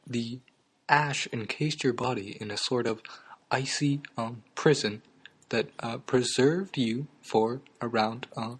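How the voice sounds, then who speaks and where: Picture a young man with a mid-pitched, ordinary voice, hosting a mock talk show. He stumbles through every sentence with ums and uhs, keeping a straight face however absurd things get. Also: slightly rough. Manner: halting, full of ums and uhs. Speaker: comedy talk show host. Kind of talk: absurdist deadpan comedy chat.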